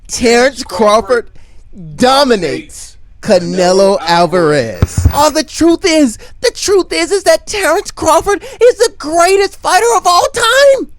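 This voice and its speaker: A woman giving emphatic opinion commentary in repeated, forceful declarations. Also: sits high, sing-song, slow pace.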